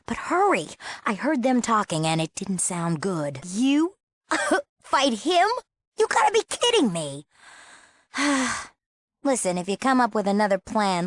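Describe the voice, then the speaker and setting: A woman's voice: mid-range voice, very animated, unhurried, dry recording. A young woman with an American accent playing a bored, sassy small-town girl in a video game, casual and sardonic. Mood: impatient, sarcastic. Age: young adult. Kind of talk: video game character acting.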